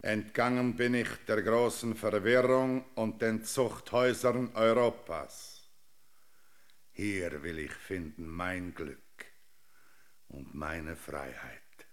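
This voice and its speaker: A man's voice: low voice, exaggerated voice. An actor playing a defiant, self-seeking male European emigrant in a theatrical, solemn German radio drama.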